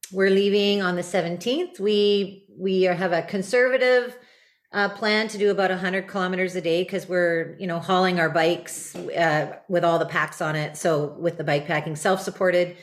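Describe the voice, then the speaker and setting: A woman guest in an interview, talking relaxed with many fillers. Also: on the low side, normal speed. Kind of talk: casual interview talk.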